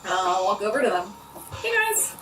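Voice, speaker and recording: A woman's voice: medium pitch, sing-song, moderate pace, a bit bright, far from the mic, soft background music. A woman playing a blunt, teasing schoolgirl in a casual tabletop roleplaying game. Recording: roomy, slightly off-mic.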